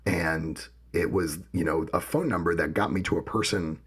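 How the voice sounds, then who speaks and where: Man, very low voice, deeply gravelly and rough, casual podcast chat. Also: normal speed. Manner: personal, reflective, tells anecdotes. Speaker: podcast co-host. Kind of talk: casual conversation.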